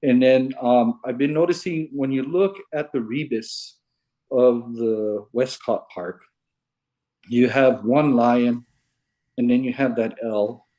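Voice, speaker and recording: A man from Hawaii on a video call, explaining his puzzle theories in a halting, unhurried way. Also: mid-range voice, dark tone, low-quality recording. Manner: unhurried, halting, restarts often. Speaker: caller sharing puzzle theories. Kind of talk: casual video call chat. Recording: video call audio, breaking up.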